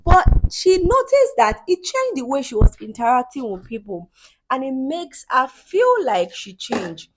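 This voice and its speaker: A woman narrating a folktale in an expressive, dramatic storytelling manner. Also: sits high, a little slow, husky, dry recording, poor audio.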